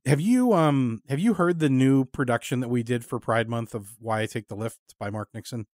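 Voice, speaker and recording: A man with a mid-pitched voice hosts a relaxed, conversational interview. He talks earnestly and ramblingly, often halting as he works toward his point. The recording is close and dry. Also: no echo. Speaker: podcast host and interviewer. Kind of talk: casual interview chat.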